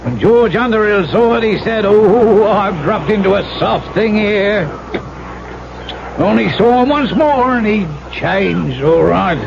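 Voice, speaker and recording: A superstitious old sea captain for a radio play. He talks on in a folksy, emphatic way, his voice high and full of gravel. The old broadcast recording is very noisy. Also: lively intonation, a little slow, lo-fi sound, heavily acted. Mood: ominous storytelling. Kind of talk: 1970s radio drama acting.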